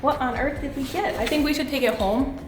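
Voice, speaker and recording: A woman's voice: high-pitched, brisk. A young woman vlogging casually in a bubbly, playful way, her voice a bit stuffy from a cold. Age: young adult. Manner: bubbly and playful, laughs often. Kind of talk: casual vlog chat. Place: living room, then post office. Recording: roomy sound with music behind.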